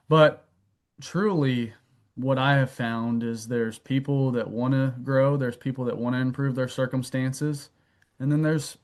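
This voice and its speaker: A man guesting on a podcast talks at an ordinary mid pitch, measured and even. As a wealth advisor, he lays out his points through client stories. He fills his pauses with a soft "um". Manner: steady, anecdotal, filler "um". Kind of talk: explanatory interview answers.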